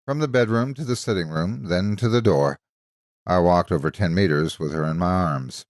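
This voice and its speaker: A man narrating a scripted dramatic story in a measured, expressive storytelling manner. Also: low-pitched, dry recording, studio quality.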